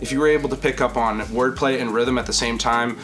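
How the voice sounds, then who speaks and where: Young man with a deep, projecting voice. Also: fairly even intonation, fairly quick. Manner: rambling, swears freely. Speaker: vocalist and podcast host. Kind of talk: casual chat.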